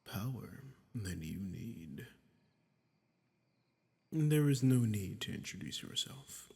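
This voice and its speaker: Male actor as archdemon, smug, menacing, condescending, very slow delivery, breathy murmurs, cavernous reverb, fantasy audio roleplay. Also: on the low side, big pitch swings, darkish tone, full character voice. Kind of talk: scripted audio roleplay addressed to the listener.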